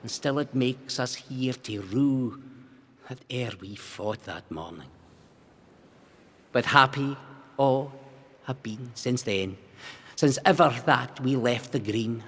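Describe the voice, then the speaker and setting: A Scottish man voicing a defiant condemned rebel weaver, reciting a ballad in slow, drawn-out rhythm. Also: mid-range voice, fairly bright tone, slightly rough, exaggerated voice. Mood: rueful, resolute. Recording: long hall-like reverb.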